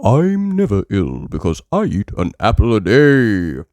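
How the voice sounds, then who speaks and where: Narrator voicing a slow, stately doctor bear. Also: medium pitch, wildly swooping pitch, darkish tone, no echo. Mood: calm, assured. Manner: deliberate, drawn-out, stately delivery. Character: big adult male bear doctor, calm and reassuring.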